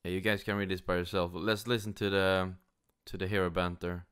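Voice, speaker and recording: Low, smooth Swedish-accented man. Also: level tone, fairly quick, a bit bright. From Sweden. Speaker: gaming YouTuber. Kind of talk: casual news commentary, partly reading aloud.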